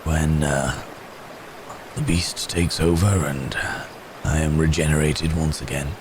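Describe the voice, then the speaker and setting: A man playing a wounded, gentle cursed werewolf in an ASMR roleplay, halting and hesitant, with pained sighs and hums. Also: extremely low pitch, fairly even intonation, noisy background. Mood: strained, halting. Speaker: audio roleplay performer.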